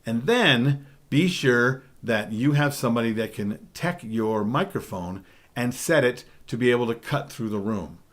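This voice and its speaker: A middle-aged man with a baritone voice giving a DJ training talk, emphatic, often checking in with "okay?". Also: lively intonation, unhurried. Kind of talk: spoken presentation.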